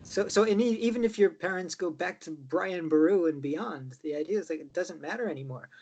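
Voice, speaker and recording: A man's voice: sits high, fairly quick, a little mellow, breathy edge. An older man with an American accent, raised between Chicago and Ireland, answering interview questions in a rambling way with many restarts. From born in Chicago, raised between the US and Ireland. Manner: rambling, with frequent restarts and repeated words. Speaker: interview guest. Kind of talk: casual conversational interview.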